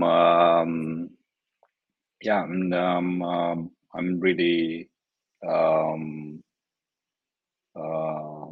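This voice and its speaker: A man's voice: sits low, breathy edge, narrow, tinny audio. A man from Romania introducing himself as a consultant, hesitating with many ums and restarts.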